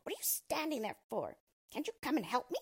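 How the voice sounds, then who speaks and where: Woman voicing a cranky, shrill old storybook dwarf. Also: sing-song, measured pace, gravelly, husky, heavily acted. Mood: indignant ranting. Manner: screaming, snapping, scolding. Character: cranky, ungrateful old male dwarf with yard-long beard.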